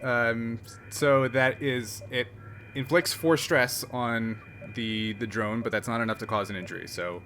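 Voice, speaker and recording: A man's voice: mid-range voice, fairly even intonation, measured pace, a bit bright, a little hiss or hum. A man running a tabletop game as game master, reading rules aloud with many fillers.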